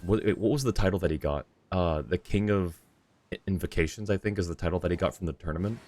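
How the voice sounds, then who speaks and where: A man's voice: deep voice, fast talker, a little mellow, slight background noise. A man casually chatting and commentating on a game livestream, thinking aloud in a rambling way. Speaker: game streamer and host. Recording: music playing behind the voice. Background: game music.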